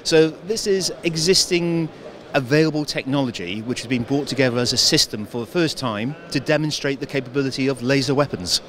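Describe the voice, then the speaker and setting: A defense engineer explaining equipment in an interview, measured with some hesitations. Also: male voice, mid-range voice, a bit bright.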